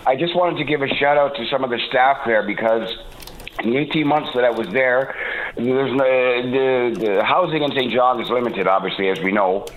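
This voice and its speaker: A man in his sixties from Toronto, calling in to a radio show, talking haltingly with many fillers and restarts, a bit congested from a cold. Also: mid-range voice, slight background noise. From Toronto, Canada. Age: 60s. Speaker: caller. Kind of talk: call-in radio talk. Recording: tinny phone line.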